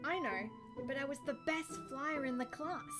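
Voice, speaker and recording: A woman's voice: high-pitched, moderate pace, music in the background, close to an everyday voice. A woman playing an eager eighteen-year-old mare in an amateur cartoon fan audio drama, gushing and exclaiming. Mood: excited, proud.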